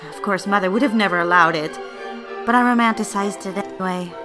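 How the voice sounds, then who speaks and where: An actress playing an anxious, romantic young bride-to-be in a period drama, speaking in a genteel, earnest, old-fashioned way. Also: female voice, medium pitch, fairly quick, music in the background, natural voice. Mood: wistful. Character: anxious young bride-to-be office woman, reflective romantic narrator. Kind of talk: dramatized first-person narration and dialogue, period radio drama.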